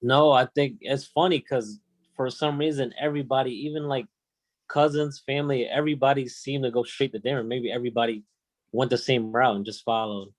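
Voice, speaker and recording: A man from Tennessee, a music producer, speaks as a podcast guest in a soft, hesitant way. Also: medium pitch, fairly even intonation, fairly quick, no echo. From Tennessee, United States. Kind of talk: casual podcast chat.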